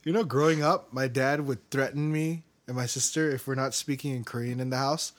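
A man's voice: medium pitch, a little fast. A man co-hosting a casual chat podcast, rambling and laughing often between his words, recorded on a cheap makeshift mic. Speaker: podcast co-host.

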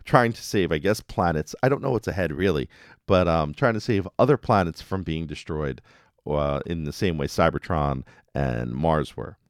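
A man's voice: a bass voice, moderate pace, no room sound, clean studio sound. A man hosting a podcast, casually recapping episodes with wry, jokey asides. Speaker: podcast host.

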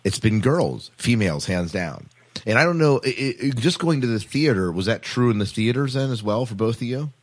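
A man's voice: medium pitch, expressive, moderate pace. A man hosting a casual podcast discussion, often repeating and restarting his words as he puts questions. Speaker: podcast host.

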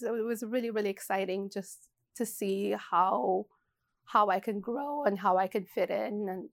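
A woman's voice: mid-range voice, vocal fry, no echo. A woman in her forties from Ethiopia, talking in an interview in a hesitant way, with many fillers and restarts. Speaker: interview guest, shop co-owner. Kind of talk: casual interview.

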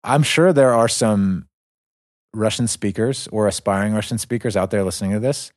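A man's voice: lowish voice, normal speed, a little mellow, no room sound, clean studio sound. A man co-hosting a casual podcast, talking eagerly and often restarting his sentences. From United States. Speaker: podcast co-host.

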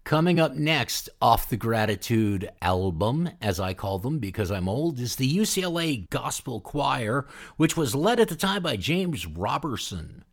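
A man's voice: mid-range voice, sing-song, measured pace, hardly any pauses. A man hosting a music show, introducing the next track in a presenter's style. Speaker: radio show host.

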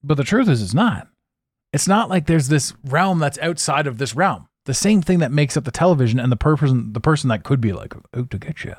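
A man hosting a solo podcast, talking intensely and repeating words as his voice swings between loud and quiet. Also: on the high side, animated, a little fast, no echo, studio quality. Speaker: podcast host.

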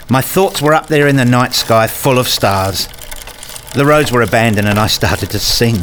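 A man's voice: fairly low pitch, noisy background, close to an everyday voice. A man playing a brooding, rule-obsessed husband and father in a radio play, speaking in an introspective, pedantic way. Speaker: narrator and lead character. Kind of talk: first-person radio play narration and dialogue.

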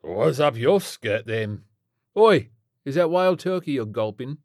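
A rowdy old British rock star, pitched high and loud. He is brash, slangy and cheerfully wasted, a comic storybook character with a dry, close sound. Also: animated, measured pace, no room sound. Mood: brash, nosy.

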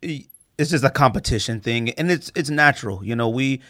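A man's voice: medium pitch, lively intonation, moderate pace, bright, forceful tone, no room sound, clean studio sound. A man from Arizona hosting a podcast, chatting casually in a rambling, conversational way as he interviews. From United States, Arizona. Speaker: podcast host.

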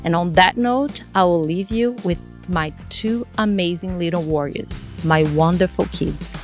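A Brazilian woman in her forties hosts her podcast in accented English, speaking in a measured, earnest way. Soft music plays faintly underneath. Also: fairly low pitch. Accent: Brazilian Portuguese. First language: Portuguese. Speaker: podcast host. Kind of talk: scripted podcast intro and casual chat.

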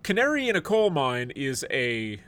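A man's voice: medium pitch, sing-song, normal speed, fairly bright tone. A middle-aged man hosting a podcast in casual chat, a bit stuffed up and sniffly, rambling and joking. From United States. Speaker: podcast host.

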